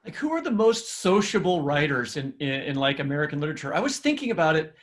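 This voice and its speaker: Halting man podcast host, slightly high voice. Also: very animated. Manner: halting, repeats words while forming thoughts. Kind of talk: conversational literary interview.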